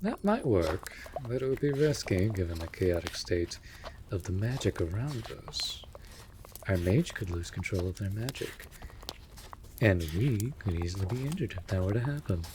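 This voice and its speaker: A man's voice: fairly low pitch, big pitch swings, normal speed. A man playing a wry, put-upon winged animal familiar in a fantasy audio roleplay, with teasing, dramatic, formal diction. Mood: wary, dry. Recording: clear background noise.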